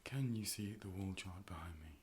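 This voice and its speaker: A low-voiced man performs a soft ASMR role play as a calm, polite optician. His delivery is measured and hushed, often slipping into a whisper. He is close to the microphone, with faint noise behind the voice. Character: calm, polite robot A.I. optician conducting an eye exam. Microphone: close ear-to-ear stereo microphone.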